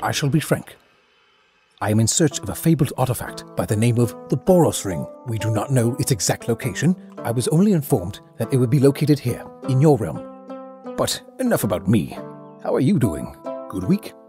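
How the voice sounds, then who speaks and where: A man's voice: medium pitch, sing-song, normal speed, over music, natural voice. A man playing an earnest, bumbling dragonborn adventurer in a comedic fantasy audio drama, chatty and awkwardly courteous.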